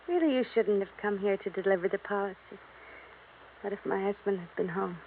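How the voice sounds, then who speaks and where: A woman's voice: medium pitch, normal speed, close to an everyday voice. Hissy tinny 50s radio, lady playing a rich young wife, poised, polished, kinda bossy. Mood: poised, coy. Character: wealthy young wife, poised but imperious. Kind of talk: 1950s radio drama acting.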